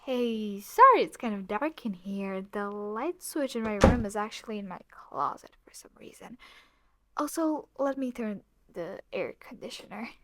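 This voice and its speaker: A possessive young yandere, played by a woman, speaks straight to the listener in an intimate audio roleplay. Her voice is fairly high and very slow, lingering on phrases. She drops into breathy half-whispers, then swells with obsessive intensity. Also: a bit bright, a little hiss or hum. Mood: coy, casual.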